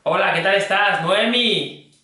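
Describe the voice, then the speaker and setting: A man's voice: high voice, unhurried, pushed and bright. Young guy just chatting on a phone call, super echoey, kinda far from the mic. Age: young adult. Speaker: prank caller, fitness trainer. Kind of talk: casual prank phone call chat. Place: indoor green screen studio. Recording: very echoey room, distant mic.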